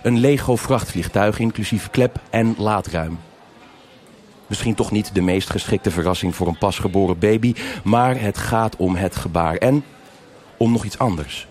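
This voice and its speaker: A man's voice: fairly low pitch, moderate pace, a little mellow, natural voice. A man playing the narrator of a live noir radio crime drama, with music behind him. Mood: measured storytelling. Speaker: narrator and investigating officer in a radio crime serial. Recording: live performance with music underneath.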